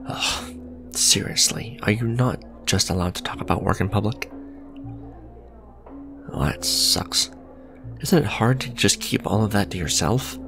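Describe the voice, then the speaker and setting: A man playing a smug, suave villain businessman in a romantic ASMR audio drama, sardonic and conversational, teasing, with a low gravelly voice. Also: a little slow, pauses often, music in the background. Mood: casual, warm. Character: smug male villain, suave luxury fashion businessman on a date.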